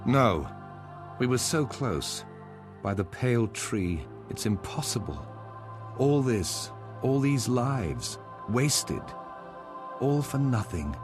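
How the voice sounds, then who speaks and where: An actor playing a solemn, scholarly plant-folk marshal in a video game cutscene, speaking in an earnest, formal, measured way. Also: male voice, mid-range voice, very animated. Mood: despairing. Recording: voice over loud game music.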